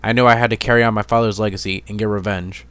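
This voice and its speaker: A man's voice: on the low side, fairly even intonation, very fast, a bit bright, clean tone. A man narrating fantasy fan fiction as an amateur dramatic reading.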